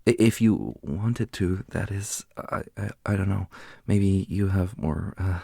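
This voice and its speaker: A man's voice: low-pitched, unhurried, creaky, warm tone, no room sound, clean studio sound, exaggerated voice. A man playing a shy, flustered young man who stammers hesitantly in a fantasy audio roleplay. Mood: nervous.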